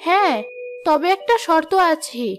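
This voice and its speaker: A woman voicing a kindly, solemn fairy of knowledge in a cartoon, gently explaining. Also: very high voice, expressive, measured pace, soft background music. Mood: mysterious. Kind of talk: cartoon voice acting.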